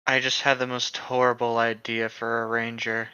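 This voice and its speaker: Male co-host, bright forward voice, casual chat and story reading, slightly lo-fi recording. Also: medium pitch, monotone, normal speed, smooth, dry recording. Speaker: podcast co-host. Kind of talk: casual chat and reading gaming stories aloud.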